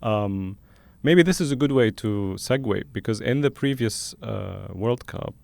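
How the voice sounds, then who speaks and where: Guy hosting an interview show, lowish voice w lots of creak, kinda halting conversational delivery. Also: expressive, darkish tone, dry recording. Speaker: podcast host and interviewer. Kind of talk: conversational interview with hesitant, thoughtful phrasing.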